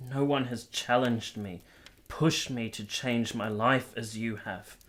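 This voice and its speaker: A male actor plays a lovestruck man of the 1870s in a radio play. His mid-pitched voice is earnest and measured. He speaks with literary formality, like a tormented romantic confessing. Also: steady tone, fairly bright tone. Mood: tender, hushed. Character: Giorgio, lovestruck, conflicted 19th-century Italian man, confessional narrator. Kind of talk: radio drama narration and intimate dialogue.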